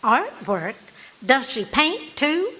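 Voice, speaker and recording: An actress playing a wry, patient, teasing wife in old-time radio comedy style. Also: female voice, sits high, very animated, slow, pushed and bright, exaggerated voice. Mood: polite, curious. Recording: live stage recording with faint hiss.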